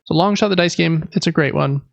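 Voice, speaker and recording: A man's voice: a little high, fast talker, gravelly, no room sound. A man in his thirties talking casually and explaining things as a podcast co-host. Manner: conversational, explanatory. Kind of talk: casual chat about board games.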